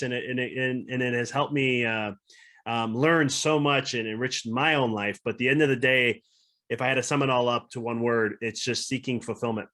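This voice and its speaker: A man's voice: medium pitch, a bit bright. A man guesting on a podcast as a mindset coach, reflective and earnest in casual conversation. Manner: reflective and earnest, talking through ideas.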